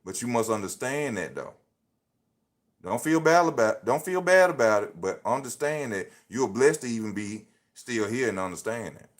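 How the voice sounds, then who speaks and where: A man's voice: medium pitch, lively intonation, normal speed. A man in his thirties livestreaming off his phone, giving casual motivational talk full of slang and repeating his points like a preacher. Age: 30s. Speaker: livestream host.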